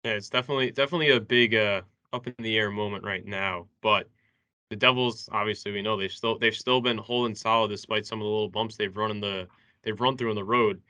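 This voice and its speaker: Bright, low-voiced male sports co-host. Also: steady tone, no room sound. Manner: halting, repeats words as he goes. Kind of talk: conversational interview questioning.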